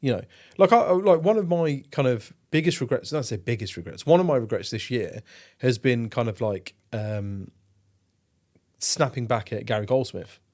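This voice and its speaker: A man hosts a podcast in a loose, casual way, rambling through his thoughts with plenty of ums and ahs. He swears freely, and his voice sits at an ordinary pitch for a man. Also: expressive, normal speed, a little mellow. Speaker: podcast host.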